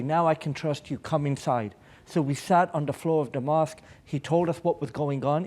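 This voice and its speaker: A man's voice: mid-range voice, mellow. A Pakistani-born American man speaking as an aid worker at a public panel, telling personal anecdotes in an earnest, emphatic way.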